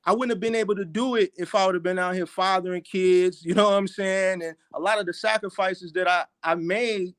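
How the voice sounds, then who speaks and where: Casting: man in his forties, laid-back podcast guest, fairly high voice. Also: steady tone, fairly quick, few pauses, fairly bright tone. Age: 40s. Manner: laid-back, reflective, says "you know what I'm saying" a lot. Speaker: guest, fellow YouTuber. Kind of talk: casual podcast conversation.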